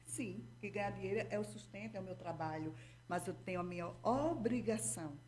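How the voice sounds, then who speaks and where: A woman's voice: mid-range voice, fairly quick, a little mellow. Didactic Brazilian woman explaining patiently. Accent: Brazilian Portuguese. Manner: didactic, checks in with frequent "tá?" and "entendeu?". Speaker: guest therapist. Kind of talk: explanatory interview talk.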